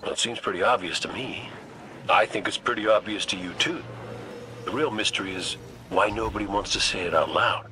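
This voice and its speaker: Low-voiced roguish gunslinger. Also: male voice, fairly quick, pushed and bright, slight background noise. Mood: dry, sly. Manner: folksy, teasing drawl with dry quips. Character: roguish, wisecracking male gunslinger, cocky and folksy. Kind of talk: video game voice acting. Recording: music under the voice.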